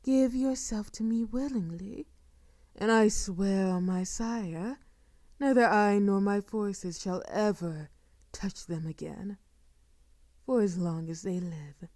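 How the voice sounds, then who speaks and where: A woman playing a seductive, sadistic vampire lord in an intimate audio roleplay, with soft murmurs and a theatrical, condescending, drawn-out delivery. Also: medium pitch, no room sound, clean studio sound, close to an everyday voice. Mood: menacing, coaxing.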